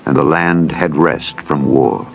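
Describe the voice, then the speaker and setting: A man reading scripture as a narrator in a solemn, measured, formal manner, as in a dramatized audio Bible. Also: rumbling low, fairly even intonation, creaky. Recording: dull lo-fi audio with music behind. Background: background music.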